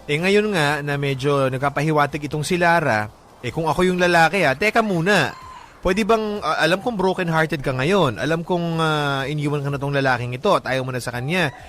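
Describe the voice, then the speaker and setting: A man's voice: fairly high pitch, brisk, fairly bright tone, slight background noise. A Filipino man hosting a radio love-story show, moving between reading and casual, blunt, joking talk with some English mixed in. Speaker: radio host. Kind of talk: casual advice banter and dramatic reading of listener letters on love. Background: background music.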